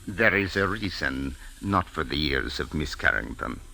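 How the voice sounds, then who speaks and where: An actor playing a dignified, hospitable desert sheikh with solemn, formal phrasing in an old radio drama. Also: male voice, rumbling low, very animated, a little slow, creaky, music in the background, heavily acted. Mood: grave, confiding. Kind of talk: 1940s American radio drama acting. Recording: old-time radio recording.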